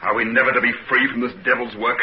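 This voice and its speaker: An actor playing a grave, clinical physician, clipped and sombre, in 1940s radio drama style. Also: male voice, medium pitch, flat delivery, fast talker, breathy edge. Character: grave, sceptical male physician, clinical and troubled. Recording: muffled, very noisy 1940s radio recording.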